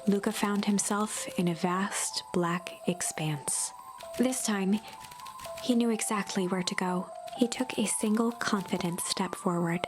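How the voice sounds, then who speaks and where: A woman reading scripted storybook narration for a video game in a measured, expressive way. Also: medium pitch. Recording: loud music behind the voice. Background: music.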